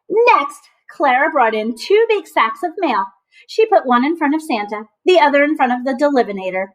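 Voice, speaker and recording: A woman with a high voice reads a picture book to children. She is expressive and emphatic, like a teacher, breaking off to chat directly to her young listeners. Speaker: teacher reading aloud to children. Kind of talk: storybook read-aloud with asides to children.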